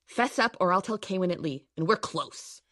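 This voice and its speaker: A woman's voice: fairly low pitch, animated, moderate pace, a bit bright, no echo, studio quality. A woman playing a practical, assertive young traveler, matter-of-fact and natural, in a science fiction audio drama. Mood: bossy.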